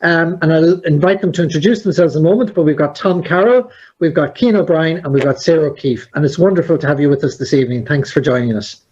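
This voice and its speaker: A man hosts a conversation in a fairly high voice. He talks at an easy pace, pausing on fillers. The recording sounds dull and lo-fi. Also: a little mellow, husky. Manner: measured, hesitant with fillers. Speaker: host. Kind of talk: hosted conversation.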